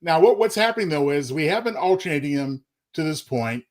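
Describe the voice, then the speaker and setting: A man co-hosting a casual talk show, explaining plans in a measured, conversational way. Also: a little high, animated. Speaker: co-host. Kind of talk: casual show announcements and banter.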